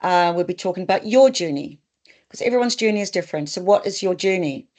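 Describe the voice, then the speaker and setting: Kiwi lady running a webinar, lowish voice, friendly, lots of ums. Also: animated, quick pace, a little mellow. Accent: New Zealand. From New Zealand. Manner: friendly, hesitant with fillers. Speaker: migration consultant, webinar host. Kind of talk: webinar presentation.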